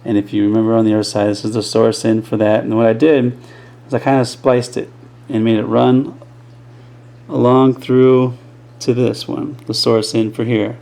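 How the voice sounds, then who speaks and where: A man's voice: medium pitch, level tone, moderate pace, creaky, warm tone. A man casually explaining a speaker crossover build from behind the camera at an indoor workbench, rambling with many ums and restarts. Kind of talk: casual off-the-cuff tutorial. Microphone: camera mic, behind the camera.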